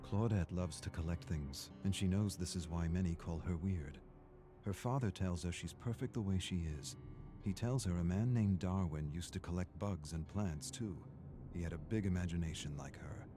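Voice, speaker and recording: Deep-voiced male narrator over prominent music. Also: moderate pace. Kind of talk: scripted story narration. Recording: music under the voice. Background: background music.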